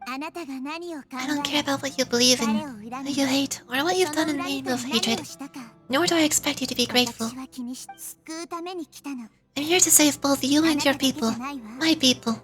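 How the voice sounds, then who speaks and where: Woman as a calm, high-voiced young goddess. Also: music in the background, close to an everyday voice. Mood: firm, reasoning. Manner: measured, formal, earnest reading.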